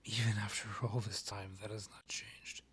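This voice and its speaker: A man playing a courtly, lovestruck vampire king in a romantic ASMR roleplay, half-whispering in a breathy voice with formal, pleading phrasing. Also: lowish voice, little pitch movement, normal speed, a bit bright, slight background noise, natural voice. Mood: pleading, tempted. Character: lovestruck male vampire king, courtly, yearning, tender suitor.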